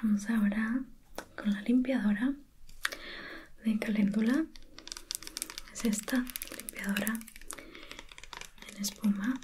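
A woman speaking in a soft, breathy whisper, gently and attentively guiding a listener through an ASMR spa roleplay as a soothing facial therapist. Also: a little high, fairly even intonation, slow pace, long pauses, a little hiss or hum.

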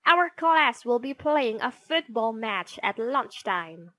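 A woman acts out a fierce storybook schoolmistress, snappish and commanding. The voice is raised and high, with sweeping pitch, and each order is delivered at a measured pace. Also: very animated, bright, forceful tone, no room sound, heavily acted. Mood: commanding, irritated. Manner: scolding, commanding. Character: stern, snappish, glaring female schoolteacher.

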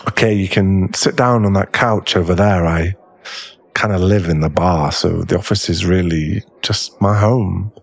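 A man playing a young werewolf bar owner in an ASMR boyfriend roleplay, teasing but secretly tender, with a halting, stammering delivery. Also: deep voice, vocal fry, slightly rough, heavily acted. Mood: soothing, gentle.

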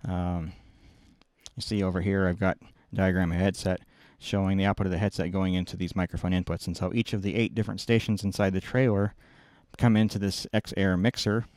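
Low-pitched middle-aged man explaining tech. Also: level tone, fairly quick, a little mellow, dry recording. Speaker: video production engineer giving a tutorial. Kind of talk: informal technical walkthrough. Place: small indoor production control room. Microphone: close boom-mounted microphone.

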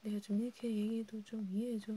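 Casting: woman for whispered ASMR role play, soft dark timbre, breathy, close and conversational, with faint noise behind. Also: medium pitch, low-quality recording. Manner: intimate, halting, informal one-sided conversation. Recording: steady background noise with clicks.

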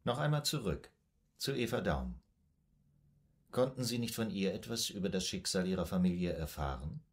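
An actor playing a composed, probing male psychoanalyst in a radio drama, formal, measured and clinical in manner. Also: low-pitched, very animated. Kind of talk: German radio drama acting.

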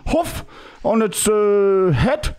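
A man's voice: sits high, animated, drawn-out words, darkish tone, clean tone, full character voice. A young man voicing a pushy foreign man with broken English on a prank call, full of hesitant fillers. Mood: scheming. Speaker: prank caller. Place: small studio room indoors. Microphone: podcast mic on boom arm.

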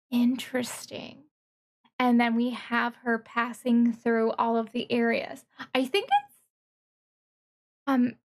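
A woman VTuber giving reaction commentary on a game trailer in a thoughtful, analytical, halting way. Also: fairly high pitch. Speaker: VTuber streamer.

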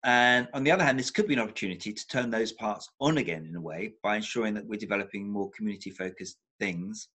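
An older man with a fairly low voice talks through a webcam on a video call from a room at home. He thinks aloud, circling back and repeating words for emphasis as he builds his point. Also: quick pace, few pauses, bright, forceful tone. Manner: thoughtful, many restarts, repeats words for emphasis. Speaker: psychotherapist guest. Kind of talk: reflective video-call interview. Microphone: laptop webcam on a video call.

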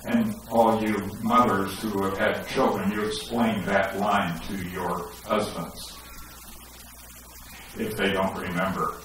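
Middle-aged male pastor, animated sermon delivery, headset mic, very echoey sanctuary heard from a distance. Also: lowish voice, slow pace, slight background noise. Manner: animated, gesturing, rising to emphatic questions. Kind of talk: sermon with scripture reading. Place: church sanctuary, indoors.

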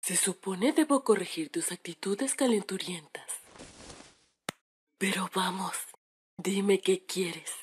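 A woman voicing an eccentric, excitable, lecherous female officer in a comedic anime parody dub, with a husky, breathy voice. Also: medium pitch, slow pace, long pauses. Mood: coy. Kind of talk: comedic fandub parody acting.

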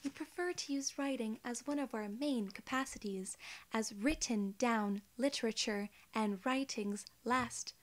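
Woman role-playing a soft-spoken, formal female alien gatekeeper. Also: fairly high pitch, natural voice. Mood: polite, reassuring. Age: 20s. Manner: soft, measured, formal, reassuring. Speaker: ASMR role-player.

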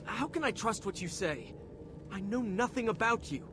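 An actor playing an anguished, defiant young warrior prince in a video game, urgent and sharp-tongued. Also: male voice, high voice, expressive, normal speed, background noise. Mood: indignant. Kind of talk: video game cutscene voice acting. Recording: loud game music behind. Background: loud music.

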